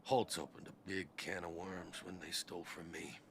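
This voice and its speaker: An actor voicing a blunt, gruff, plain-spoken man in game dialogue. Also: low-pitched, flat delivery, normal speed, fairly bright tone, a little hiss or hum. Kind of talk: video game voice acting.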